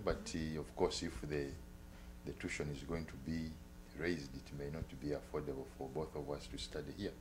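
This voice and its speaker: Man, international student, very deep voice, halting answers, audible hum and noise. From Uganda. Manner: halting, with many pauses and fillers. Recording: noisy hum in the background.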